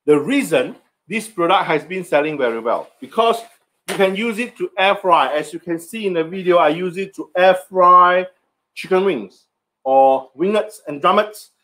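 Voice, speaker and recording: A man's voice: high voice, fairly bright tone. A middle-aged man hosting a live cooking stream, chatting loosely as he sells a kitchen appliance in front of a stand mic. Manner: chatty, loose sales talk with slips in grammar. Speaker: host of a live cooking show selling an air fryer. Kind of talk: live-stream product demo chat. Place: home kitchen set with a product backdrop, indoors. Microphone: stand mic in front, framed from the waist up.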